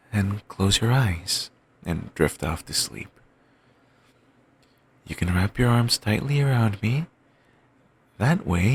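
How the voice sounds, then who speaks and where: A young man voicing an obsessive, doting boyfriend in an ASMR audio roleplay, murmuring soft, soothing, controlling reassurances. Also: creaky, breathy edge, full character voice. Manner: coaxing, endlessly affectionate, quietly controlling. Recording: home mic, low-quality.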